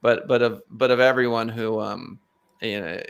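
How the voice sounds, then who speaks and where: A man's voice: medium pitch, fairly even intonation, normal speed, smooth. A male podcast host chatting with a guest in an enthusiastic, encouraging way, with many fillers. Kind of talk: casual interview chat.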